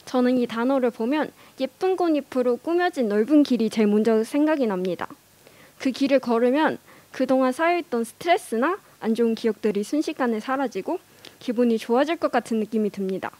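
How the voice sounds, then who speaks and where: A woman's voice: fairly high pitch, expressive, brisk, a little mellow, dry recording. Earnest young woman giving a contest speech. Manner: careful, earnest delivery with occasional hesitations. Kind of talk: prepared speech in a learned language.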